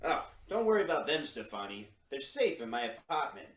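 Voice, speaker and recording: A man's voice: mid-range voice, very animated, fairly quick, fairly bright tone. A man playing a nervous, compliant crook who placates his boss in an old-time radio crime drama. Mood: nervous, placating. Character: nervous, compliant gangster's underling.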